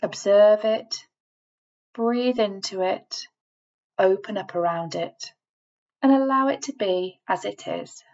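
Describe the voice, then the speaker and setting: Slow-paced woman guiding a meditation. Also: mid-range voice, darkish tone, muffled recording. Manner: measured, instructing, with deliberate pauses between phrases. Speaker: guided relaxation narrator. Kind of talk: scripted guided meditation voiceover.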